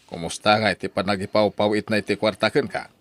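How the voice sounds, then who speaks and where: A man's voice: fairly low pitch, little pitch movement, fast, dry recording, natural voice. A man with an Ilocano accent playing a concerned older brother, passing on news in a chatty way in a radio drama. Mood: curious. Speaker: elder brother.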